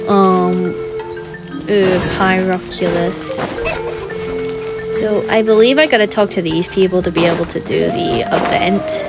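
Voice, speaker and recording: A woman's voice: on the low side, measured pace, poor audio. Sick woman mumbling through a game. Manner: hesitant, with many ums and trailing restarts. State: sick, sore throat. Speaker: gaming channel host. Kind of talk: casual let's-play commentary. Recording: voice over game music.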